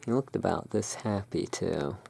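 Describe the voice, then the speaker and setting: A hushed, soothing and unhurried voice in an ASMR roleplay, playing the owner of a gothic curiosity shop. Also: low voice, expressive, vocal fry, a little hiss or hum. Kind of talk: ASMR roleplay, showing tarot cards.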